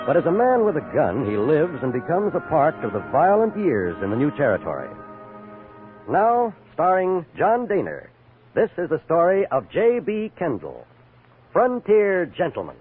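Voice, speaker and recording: A man's voice: on the high side, wildly swooping pitch, moderate pace. A man giving a scripted, dramatic 1950s radio show introduction. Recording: tinny 1950s radio, music behind.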